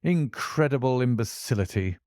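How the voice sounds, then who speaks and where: A male narrator voicing a brisk, forceful detective who questions in a commanding way in an audiobook reading. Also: mid-range voice, expressive, no echo, studio quality, lightly acted. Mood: urgent.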